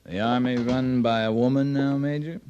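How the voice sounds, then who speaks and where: A man playing a plain-spoken frontier army captain in a radio western. He has a mid-range American voice and a terse, deadpan delivery with dry wit. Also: mid-range voice, moderate pace, lo-fi sound, a little hiss or hum, close to an everyday voice. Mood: blunt, skeptical. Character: blunt, dry-witted US cavalry captain on the frontier. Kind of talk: old-time radio western acting.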